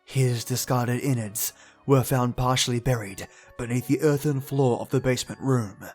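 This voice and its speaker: A man playing a grave investigator in a game dub, narrating a grisly case in a measured, formal, brooding way with a husky voice. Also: mid-range voice, fairly even intonation, faint music behind, natural voice.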